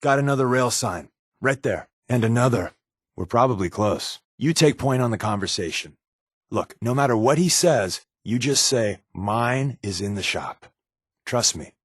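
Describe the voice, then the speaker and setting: A wisecracking undercover spy from a video game. He is an American man with a mid-pitched voice that swings freely up and down. His talk is glib, casual and sardonic, recorded close and dry. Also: expressive, normal speed, fairly bright tone, dry recording. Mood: sly, explanatory. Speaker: video game companion character. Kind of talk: video game voice acting, conversational dialogue.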